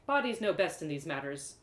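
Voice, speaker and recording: A woman reading a first-person fantasy story aloud in a dramatic, expressive way. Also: deep voice, normal speed. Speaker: storyteller, author reading live. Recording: roomy echo.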